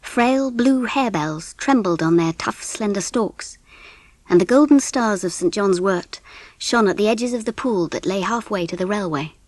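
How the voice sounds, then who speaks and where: A woman with an English accent giving an expressive, measured narration of a scripted storybook. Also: mid-range voice, no room sound. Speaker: audiobook narrator.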